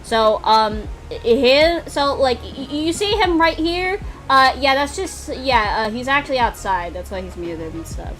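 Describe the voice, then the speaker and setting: A giggly, animated woman chatting casually and in slang on a livestream while she plays a game, poking fun at herself. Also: high-pitched, measured pace, hardly any pauses. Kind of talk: casual livestream chat while playing a visual novel. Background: music.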